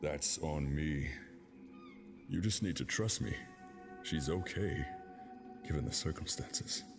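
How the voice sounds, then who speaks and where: Very deep-voiced, gruff operative. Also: male voice, takes it slowly, music in the background, heavily acted. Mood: earnest, reassuring. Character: gruff, driven male operative, protective and blunt. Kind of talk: audio drama acting.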